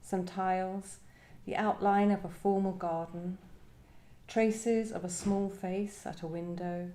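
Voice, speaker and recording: A woman's voice: medium pitch, fairly even intonation, takes it slowly, dark tone. Woman poet in an echoey room. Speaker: poet, guest at a reading. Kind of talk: reflective discussion and poetry reading. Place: echoey indoor venue at a live event. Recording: roomy, echoing live venue.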